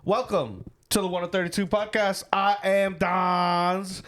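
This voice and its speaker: A man's voice: high-pitched, measured pace, a bit bright. A man with a Boston accent hosting a casual sports podcast, joking and teasing his co-host. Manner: goofy, jokey, teasing, drifts into silly voices.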